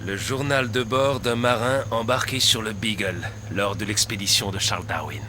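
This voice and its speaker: Courteous scientist, noisy audio. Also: male voice, lowish voice, moderate pace, pushed and bright. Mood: storytelling, intrigued. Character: courteous, persuasive male scientist, a doctor, recounting mysteries. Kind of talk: dubbed video game cutscene acting.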